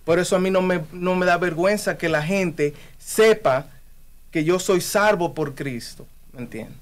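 A man's voice: sits high, measured pace. A man speaking with passion and emphasis about his faith in a conversation. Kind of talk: earnest conversational preaching.

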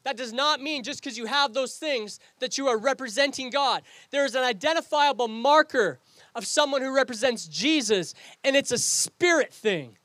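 A male pastor with a Canadian accent delivers an earnest sermon at an outdoor service. His voice sits very high for a man, and the sound is close and dry, with no room around it. He often repeats and restarts his phrases as he presses a point. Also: lively intonation, normal speed, fairly bright tone, no echo. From England.

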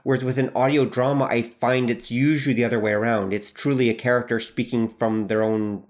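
A man who writes and teaches audio drama, answering interview questions in a measured, explanatory way. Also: mid-range voice, steady tone.

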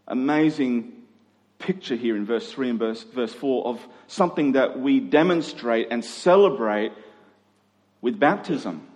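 A man preaching a sermon as a pastor, swinging between emphatic and hushed delivery with rhetorical questions. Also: medium pitch. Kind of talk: sermon to a congregation. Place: large reverberant church hall. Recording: long hall reverb.

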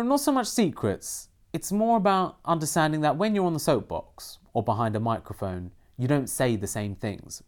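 A man from Iran whose first language is Persian, answering interview questions earnestly about acting in a film. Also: medium pitch. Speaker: film protagonist, interview guest.

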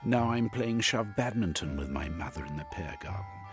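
An anxious storybook gentleman, acted out by a male narrator, dramatic and overwrought. His pitch swings widely as he confesses and exclaims, with music behind him. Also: animated, fairly quick. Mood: troubled, earnest. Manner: dramatic, exclamatory, with trailing 'eh?'. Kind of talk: audiobook character acting.

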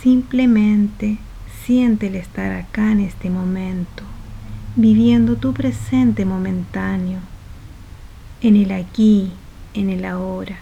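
Slow, mellow woman guiding a meditation. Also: mid-range voice, fairly even intonation, lo-fi sound, a little hiss or hum. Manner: soft, unhurried, phrase by phrase with trailing breathy endings. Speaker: meditation guide. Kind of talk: guided meditation.

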